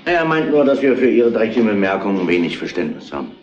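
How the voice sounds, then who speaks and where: Brisk low-voiced policeman, roomy sound. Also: very animated. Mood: dry. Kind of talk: German crime radio play acting, retro. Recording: roomy, dull, noisy old radio recording.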